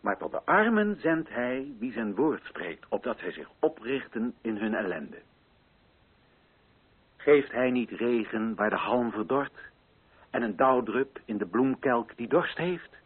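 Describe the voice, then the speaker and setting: An actor playing an idealistic, solemn colonial official giving a formal, declamatory speech in a radio drama. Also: male voice, mid-range voice, expressive, unhurried, husky, narrow, tinny audio. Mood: earnest, exalted. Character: idealistic, solemn male colonial official addressing native chiefs. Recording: band-limited radio recording.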